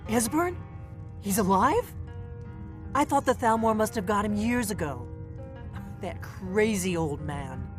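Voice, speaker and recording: A woman's voice: mid-range voice, expressive, unhurried, long pauses, natural voice. Woman acting a wary, hardened middle-aged female agent. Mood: surprised. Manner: terse, wry, world-weary. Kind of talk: video game character dialogue. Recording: game music behind the voice.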